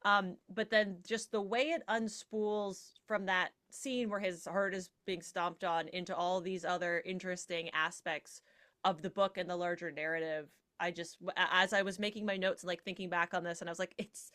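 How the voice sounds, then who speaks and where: A woman's voice: on the low side, steady tone, fairly quick, a bit bright. A woman guest on a podcast panel, chatting casually and emphatically, with lots of informal filler words like "like". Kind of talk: casual, animated panel chat.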